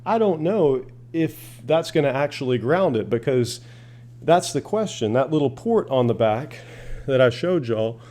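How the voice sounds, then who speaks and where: A man's voice: mid-range voice, animated, unhurried, mellow. A man giving a casual, plain-spoken, rambling product demonstration as a hobbyist reviewer.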